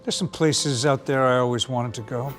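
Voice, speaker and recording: A man's voice: mid-range voice, steady tone, normal speed, fairly bright tone, soft background music, natural voice. A man in his fifties playing a wry, easygoing veteran agent at a script read. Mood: gentle, understated.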